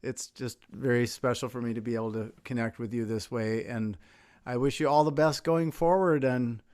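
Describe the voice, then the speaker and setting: A man's voice: medium pitch, smooth. An American man from Minnesota, a guest musician, talking casually and sincerely in an appreciative, gracious way over a video call. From Minnesota, United States. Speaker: guest musician speaking after performing. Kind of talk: casual, sincere remote chat.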